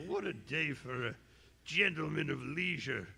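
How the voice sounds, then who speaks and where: A man's voice: a little high, animated, measured pace, vocal fry, sharp tone, slightly rough, heavily acted. An actor playing a down-on-his-luck, idle tramp in a radio-style drama, muttering wryly to himself. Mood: weary, wry. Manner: wry, rambling self-talk. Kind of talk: radio-style stage audio drama acting.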